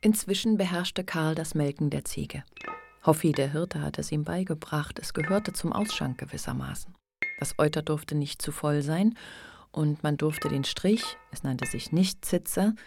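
Female narrator, literary radio drama, low register, understated, observant, soft music behind. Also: gravelly. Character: calm, observant female storyteller of a novel.

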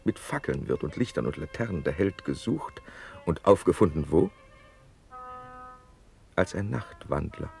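A witty, loyal young count at a Prussian court in a classical radio drama. His voice is deep and creaky, with wry, declamatory verse that rises and falls widely. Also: animated, unhurried, pauses often, darkish tone, soft background music, exaggerated voice. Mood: reporting, urgent.

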